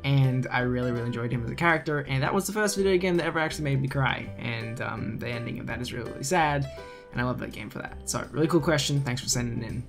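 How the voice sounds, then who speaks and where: A young man from Queensland with an Australian accent, answering viewer questions in a chatty, relaxed gaming vlog full of fillers. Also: mid-range voice, fast, vocal fry. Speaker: gaming YouTuber. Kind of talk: casual Q&A vlog. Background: faint guitar music.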